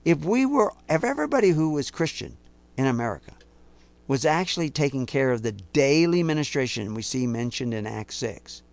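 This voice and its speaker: A man preaching a sermon to his listeners, accusing and emphatic, slowing down to quote scripture in a measured cadence. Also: medium pitch, very animated, vocal fry. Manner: intense, rising to emphatic outbursts; accusing, speaks directly to listeners.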